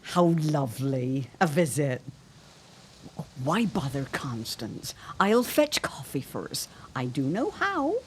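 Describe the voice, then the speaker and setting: A woman's voice: deep voice, sing-song, slow pace, a little hiss or hum, exaggerated voice. An actress playing an arch, genteel, meddling middle-aged mother in a period audio drama. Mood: sweetly scheming. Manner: theatrical, arch. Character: meddling matchmaking mother, archly genteel, feigning innocence.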